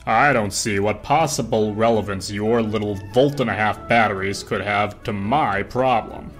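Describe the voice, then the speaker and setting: Deep, pompous old vacuum cleaner. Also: female voice, normal speed, soft background music. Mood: testy, scornful. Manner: pompous, grumbling. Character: testy, scornful old Hoover vacuum cleaner.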